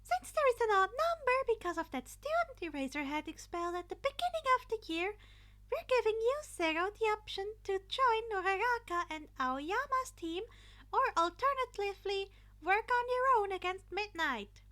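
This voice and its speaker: Storybook role: tiny excitable male animal headmaster, played by a woman, very high, loud and cheerful. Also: normal speed, heavily acted. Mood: cheerful, excited. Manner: loud, squeaky, cheerful announcing. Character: excitable small male animal school principal.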